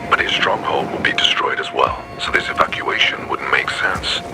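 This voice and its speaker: An actor playing a wary, fatherly older lookout in a video game, talking in a measured, gruff voice. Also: music in the background, close to an everyday voice. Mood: wary, thoughtful. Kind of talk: video game character dialogue. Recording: noisy, with engine-like ambience behind.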